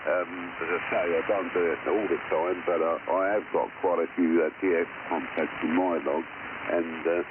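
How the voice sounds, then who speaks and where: A retired older man on an amateur radio net, talking in a rambling, hesitant way and spelling call signs phonetically. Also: deep voice. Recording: tinny shortwave radio with static.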